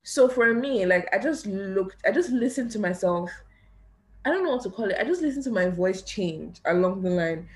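A young woman from Nigeria, hosting a podcast and reflecting in a relaxed, casual chat. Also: medium pitch, moderate pace. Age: young adult. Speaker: podcast host.

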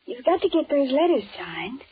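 A woman's voice: sits high, big pitch swings, normal speed. An actress in a 1940s radio drama playing an affectionate, coaxing young secretary who is in love with her boss. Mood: brisk, insistent. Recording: muffled, narrow-band 1940s radio recording.

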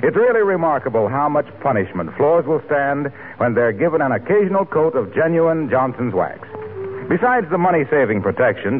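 A man with an American accent announcing and pitching a sponsor in polished, genial 1940s radio style. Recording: tinny old radio recording, background hiss.

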